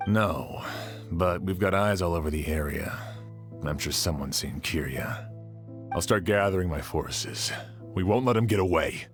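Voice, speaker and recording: A resolute town sheriff in an anime game, protective of the townsfolk. The voice is fairly low, with faint music behind it. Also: male voice, a little slow. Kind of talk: anime video game voice acting.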